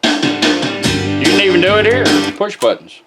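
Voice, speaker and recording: A man's voice: high voice, big pitch swings, unhurried, loud music behind the voice. Folksy man giving a casual demo. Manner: folksy, informal, talks to the gadget. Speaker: presenter demonstrating a product. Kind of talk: casual hands-on demonstration.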